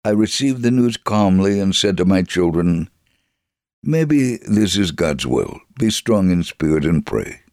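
An actor playing a devout, steadfast Israeli father in an earnest, deliberate, theatrical dramatic reading of a memoir. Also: low-pitched, animated, a little mellow, no room sound, studio quality. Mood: calm, resolute. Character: devout, steadfast Israeli father telling his life story.

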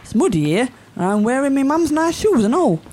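An actress playing a sharp-tongued cockney woman from wartime London who has become a spy, sarcastic and loud. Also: high-pitched, sing-song, fairly quick. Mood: exasperated.

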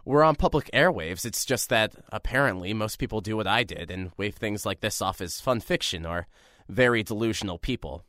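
A man's voice: on the low side, brisk, no echo, studio quality. A man playing a nervous rookie radio host. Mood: earnest, rambling. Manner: rambling, self-deprecating, lively. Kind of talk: audio drama monologue.

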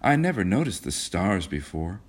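A man's voice: sits low, sing-song, moderate pace. A man voicing a naive, innocent, dreamy young rich girl in a storybook reading. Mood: wistful.

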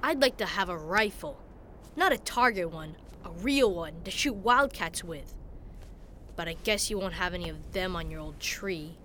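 A woman's voice: on the low side, animated, moderate pace, sharp tone, a little hiss or hum. A woman playing a sullen, skeptical young frontier boy with an American Western accent and blunt rustic speech. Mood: skeptical, grudging. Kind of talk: radio drama acting.

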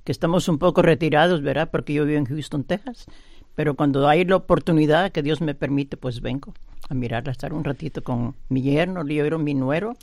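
An older woman, a grandmother visiting as a radio guest, speaking slowly and softly and a little nervous. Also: deep voice, dry recording. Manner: slow, soft-spoken, a little nervous.

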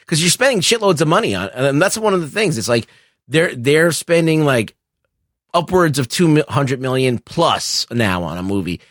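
A middle-aged man co-hosting a casual film discussion, explaining things in a halting, profane way. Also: fairly high pitch, sing-song, a bit bright, no room sound, studio quality. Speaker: podcast co-host.

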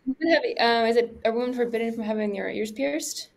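A woman's voice: a little high, quick pace, creaky. A young American woman co-hosting in casual chat, asking questions in an informal, youthful way.

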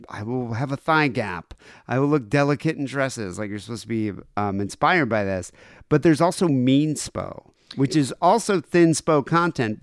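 A man's voice: medium pitch, clean tone, dry recording, clean studio sound. A man co-hosting a casual comedy podcast, reading items aloud and laughing often. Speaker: podcast co-host.

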